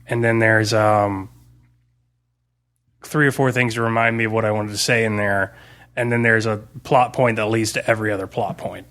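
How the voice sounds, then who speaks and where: A man's voice: fairly low pitch, fairly even intonation, moderate pace, fairly bright tone. A man speaking as a game master, talking casually and looking back on a campaign in a podcast discussion. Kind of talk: casual chat, tabletop gaming post-mortem podcast.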